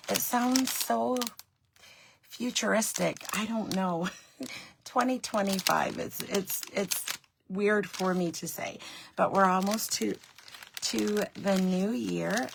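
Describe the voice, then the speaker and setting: A middle-aged woman with a slightly raspy, scratchy voice, chatting as she hosts a live craft tutorial from her home craft room and greeting viewers by name. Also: medium pitch. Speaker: craft demonstrator and host.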